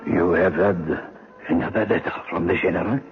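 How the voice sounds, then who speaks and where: An actor playing a shrewd, loyal officer in an old-time radio drama, with a deliberate, conspiratorial delivery. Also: male voice, low-pitched, steady tone, natural voice. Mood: wry, knowing. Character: shrewd, loyal French officer plotting an escape. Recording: tinny, band-limited old radio broadcast, music.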